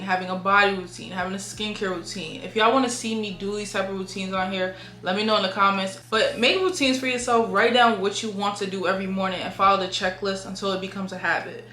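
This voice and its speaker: A woman's voice: mid-range voice, pushed and bright, echoey room. A young woman giving frank, chatty advice straight to her viewers, full of "like" and "y'all". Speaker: beauty and lifestyle vlogger. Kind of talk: casual advice monologue to camera.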